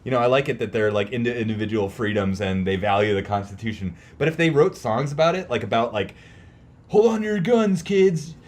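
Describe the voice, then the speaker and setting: A man's voice: mid-range voice, lively intonation, fairly quick. A man co-hosting a casual podcast, talking in an emphatic, slangy, opinionated way with some swearing. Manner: profane, emphatic slangy ranting. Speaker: podcast co-host; teacher.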